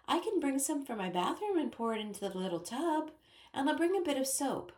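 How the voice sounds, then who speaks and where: A woman's voice: sits high, very animated, brisk, a little mellow, voice pushed up. A woman voicing an eager, polite young girl who talks earnestly and aims to please. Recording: roomy echo.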